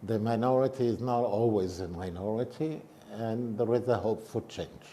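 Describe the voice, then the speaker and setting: A man in his eighties from Israel, a retired professor giving measured interview answers. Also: fairly low pitch. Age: 80s. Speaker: retired political science professor being interviewed.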